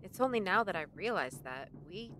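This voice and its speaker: A woman's voice: medium pitch, very animated, fairly quick, a bit bright. A woman playing a principled, distrustful young companion in an audio drama, challenging and emotional in manner. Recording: clear background noise.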